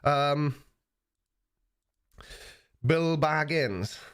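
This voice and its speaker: A man in his forties with an Australian accent, hosting a live stream and chatting casually while answering viewer comments, relaxed and plain-spoken with frequent ums. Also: fairly high pitch, extremely slow, clean tone. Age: 40s.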